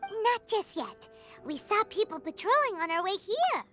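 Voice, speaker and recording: Tiny chirpy game sprite, very high squeaky pitch, bright tone, slow sing-song lines, swooping melody. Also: female voice, faint music behind, exaggerated voice. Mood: teasing. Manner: excited, shrill calling out. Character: tiny chirpy floating companion girl. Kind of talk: game cutscene dialogue.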